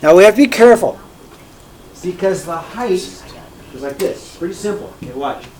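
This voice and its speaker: A man lecturing a classroom in a fairly high voice, bouncing between loud emphasis and quiet asides. He repeats each key point and keeps checking whether the students follow. Faint room noise sits under him. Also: normal speed, poor audio. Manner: energetic, repeats key points, asks "okay?" and "right?" often. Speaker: math teacher.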